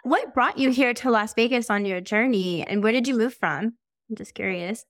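A woman's voice: on the high side, lively intonation, moderate pace, no room sound, clean studio sound. A woman hosting a spiritual podcast, reading flowery introductions and chatting with a guest in an effusive manner. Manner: flowery, effusive scripted delivery. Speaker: podcast host. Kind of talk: podcast interview, read intro.

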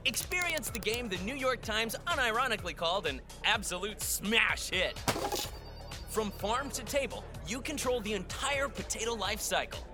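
Parody advert: over-excited game announcer, high and punchy, over prominent music. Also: male voice, moderate pace. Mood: hyped. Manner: hyped, punchy salesmanship. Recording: loud music under the voice.

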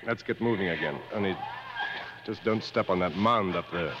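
A man's voice: low-pitched, moderate pace, natural voice. An actor playing a seasoned, world-weary male jungle guide in a radio drama, speaking in a knowing, didactic, dryly fatalistic way. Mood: knowing, instructive. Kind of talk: mid-century American radio drama acting. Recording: dull vintage radio recording, faint noise.

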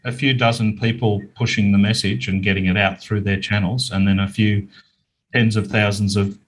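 A man's voice: fairly low pitch, flat delivery, moderate pace, rough recording. A middle-aged Australian man answering interview questions in an earnest, plain-spoken, conversational way. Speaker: interview guest, small business owner.

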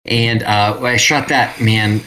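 A man talking casually as an interview guest, telling stories in an animated way with quoted asides. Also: on the low side, takes it slowly. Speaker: interview guest, self-published creator.

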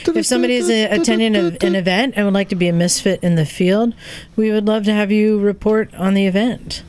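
Woman, podcast host, middle-pitched voice, casual conversational group chat. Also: steady tone, a little fast, darkish tone.

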